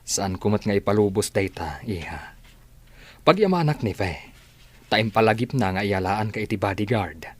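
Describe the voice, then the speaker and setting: An actor playing a gentle middle-aged father who soothes his daughter, in a radio drama. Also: fairly low pitch, animated, moderate pace. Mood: reassuring.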